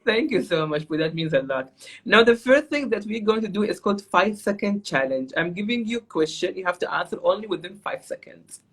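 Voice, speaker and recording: Husky male host. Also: high voice, lively intonation, a little fast, fairly bright tone. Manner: upbeat, guides the segments. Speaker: talk show host. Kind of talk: hosting an interview with games.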